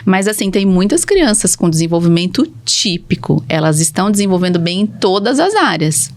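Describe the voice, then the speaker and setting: A woman's voice: lowish voice, sing-song, normal speed. A woman with a Brazilian Portuguese accent, a speech therapist explaining things methodically and with emphasis in a podcast conversation.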